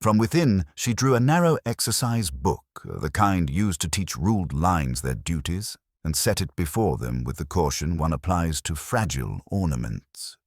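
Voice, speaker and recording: A man reading a scripted literary mystery story as narrator, in a measured, dryly wry manner. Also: low voice, very animated, no room sound, studio quality.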